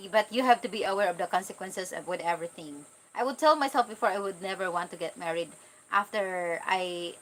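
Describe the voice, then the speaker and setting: Filipina accent woman, 30s, casual candid livestream chat, mid pitched voice. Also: fairly bright tone, slight background noise, rough recording. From Philippines. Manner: candid, informal, talking directly to viewers. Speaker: vlogger answering live chat comments.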